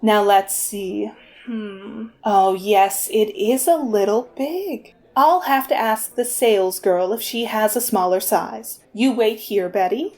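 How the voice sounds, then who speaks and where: A woman's voice: mid-range voice, slow pace, darkish tone. A woman playing a fussy, motherly middle-aged lady in a 1940s-style radio comedy. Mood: chatty.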